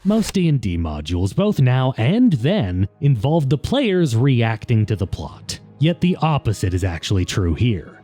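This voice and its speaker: A man's voice: mid-range voice, very animated, unhurried, hardly any pauses, warm tone, soft background music. A man reading scripted documentary narration as the narrator of a video essay.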